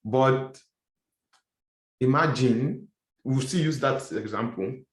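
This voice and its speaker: A man's voice: medium pitch, slow, reverberant. A male instructor giving a casual lecture, explaining step by step and often repeating and rephrasing his points. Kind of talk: classroom lecture.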